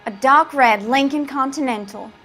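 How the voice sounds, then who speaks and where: A woman's voice: high voice, moderate pace, a bit bright, soft background music. A woman playing an eager, coy young witness in a crime drama game, polite and hesitant.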